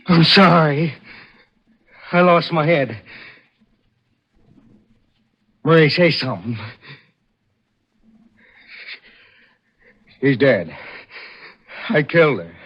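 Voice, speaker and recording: An actor playing a tormented, desperate husband in a halting, intense 1940s radio thriller style. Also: male voice, fairly high pitch, slightly rough, muffled recording. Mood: stunned. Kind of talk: 1940s radio thriller first-person narration and acting.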